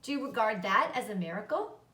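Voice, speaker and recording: A woman's voice: mid-range voice, expressive, a little fast, pushed and bright. A thoughtful old country doctor from a storybook, played by a woman, musing and reassuring in a philosophical way. The recording is roomy and echoey, slightly distant from the mic. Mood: curious. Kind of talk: children's book read-aloud. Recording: roomy echo, distant microphone.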